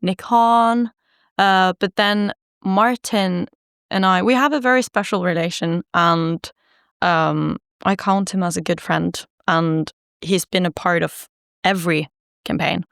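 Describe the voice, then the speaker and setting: A singer-songwriter woman from Norway, chatting casually in an interview, laughing easily and filling pauses with ums and likes. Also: lowish voice, vocal fry, a bit bright, clean tone, dry recording, studio quality. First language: Norwegian.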